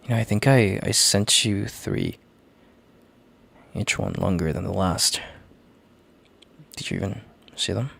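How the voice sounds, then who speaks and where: A man playing a gentle, worried boyfriend in an intimate audio roleplay, speaking in a halting, tender, hushed way with a gravelly, husky, breathy voice. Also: sits low, full character voice. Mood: pained worry. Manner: halting, tender, hushed, sighing between phrases. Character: gentle worried boyfriend, relieved and heartfelt, on a call.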